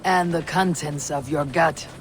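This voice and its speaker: A dry Viking warrior. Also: female voice, deep voice, unhurried, background noise. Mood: teasing. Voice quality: dry, teasing. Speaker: game character. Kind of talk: video game cutscene acting. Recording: game audio with clear music.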